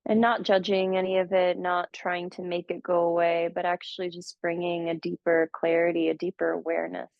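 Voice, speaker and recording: A woman leads a guided meditation in a gentle, unhurried voice that sits a little low and carries a gravelly fry. The sound is dry and close, but somewhat dull and muffled. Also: flat delivery, dry recording. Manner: slow, gentle, soothing guidance with long pauses. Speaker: meditation teacher.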